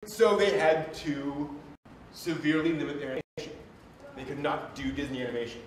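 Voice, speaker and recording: A man hosting a live stream, giving an informal, enthusiastic lecture with emphatic asides. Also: fairly high pitch. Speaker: live stream host and presenter. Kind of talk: informal lecture on anime history to an audience. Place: reverberant indoor room. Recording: very echoey room, distant mic, faint noise.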